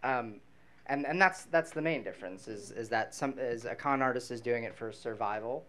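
A man in his thirties giving a casual, halting answer on a live panel into a handheld microphone. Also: mid-range voice, a bit bright. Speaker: panelist, magician and mentalist. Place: small dim event venue stage.

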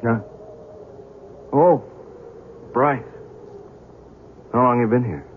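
An actor playing an agitated, skeptical young journalist in a 1970s radio drama, halting and exasperated. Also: male voice, mid-range voice. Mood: startled, dejected. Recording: muffled, noisy vintage radio broadcast.

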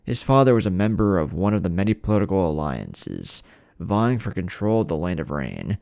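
A man's voice: deep voice, big pitch swings, normal speed, gravelly, darkish tone, dry recording, clean studio sound. A man narrating a scripted fan fiction story in a dramatic storytelling manner.

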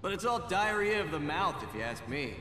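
A man's voice: on the high side, sing-song, a little fast, sharp tone, a little hiss or hum. An actor playing a cocky, sarcastic young male journalist in a video game, brash and defiant. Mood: sarcastic, taunting. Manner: sardonic, taunting, brash. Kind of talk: dramatic video game acting. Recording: echoey hall, long reverb, faint music.

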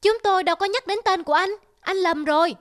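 A woman's voice: very high voice, fast talker. A woman voicing timid small forest birds in a cartoon, speaking in a worried, pleading way. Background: loud music.

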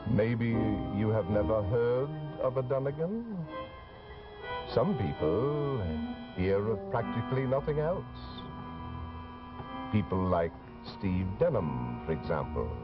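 Slow, eerie horror host. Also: male voice, on the low side, sing-song. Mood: sly, ominous. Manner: deliberate, ominous, drawn-out phrasing. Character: eerie, sly male storyteller introducing horror tales. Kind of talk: 1950s television horror narration, theatrical. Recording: dull old TV soundtrack, loud music.

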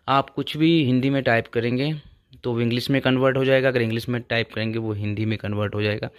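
A young man hosting a tech channel, walking through phone features step by step and leaning on the same filler phrases over and over. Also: mid-range voice. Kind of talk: casual phone tutorial.

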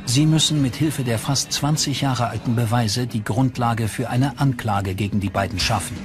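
A man's voice: mid-range voice, normal speed. A man reading scripted true crime documentary narration in German-dub style as the narrator. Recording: music bed under voice. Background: prominent music.

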